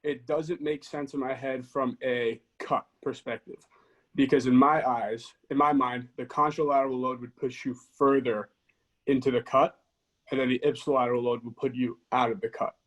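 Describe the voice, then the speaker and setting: A man's voice: medium pitch, fairly even intonation, moderate pace, low-quality recording. A man taking part in a group call, asking questions and reasoning aloud in a casual way.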